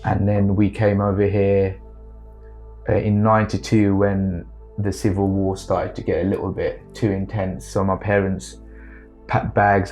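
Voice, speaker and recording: A man in his thirties from Sri Lanka, earnestly telling a personal story about fatherhood with frequent pauses and fillers. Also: fairly low pitch, a little mellow. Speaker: father in a discussion.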